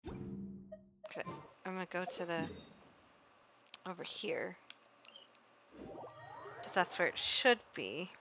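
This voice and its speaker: A woman's voice: on the low side, sing-song, slow, creaky, pauses often, sharp tone, a little hiss or hum. A woman streaming a video game with casual, reactive commentary, often muttering to herself. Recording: dull audio, faint game music.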